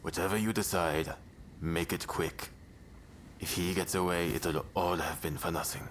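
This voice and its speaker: Actor as deep-voiced, ruthless samurai rebel. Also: male voice, fairly even intonation, normal speed, slight background noise, lightly acted. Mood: grim, resolute. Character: stern anti-foreigner samurai rebel, hard and ruthless. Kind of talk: video game cutscene acting.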